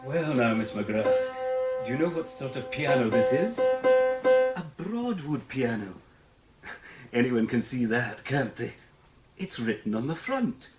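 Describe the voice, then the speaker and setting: An actor playing a kindly piano tuner gently coaxing a child in a period audio drama, savouring his words. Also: medium pitch, lively intonation, slow, long pauses. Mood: playful.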